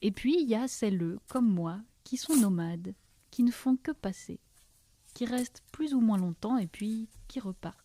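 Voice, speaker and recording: A woman's voice: fairly high pitch, animated, moderate pace, mellow. A woman playing an enthusiastic nomadic seed-bearer, chatty and full of marvel, in an audio drama. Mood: enthusiastic, marvelling. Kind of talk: fiction podcast dialogue.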